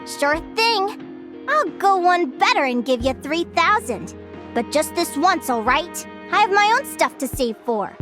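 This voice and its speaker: Casting: a young fae girl naturalist for a game, high voice, curious and eager, light music underneath. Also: unhurried, exaggerated voice. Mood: generous, playful. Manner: eager, expressive, wistful when reflective. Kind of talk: video game character voice acting.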